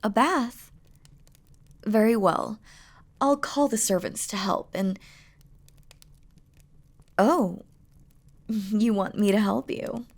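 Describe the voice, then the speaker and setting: Woman voicing a slow, seductive demon queen in love. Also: mid-range voice. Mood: flirtatious, doting. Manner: slow, tender, teasing, regal formal phrasing. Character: feared demon queen, doting, playful, seductive lover. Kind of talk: intimate romantic audio roleplay.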